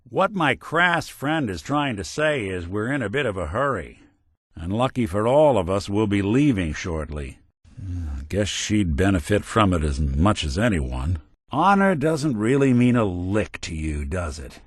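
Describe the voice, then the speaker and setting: A man's voice: fairly low pitch, dry recording. An actor playing a world-weary male synth detective in a video game, delivering dry, sardonic quips in a noir drawl. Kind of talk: video game companion voice lines. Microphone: close studio mic.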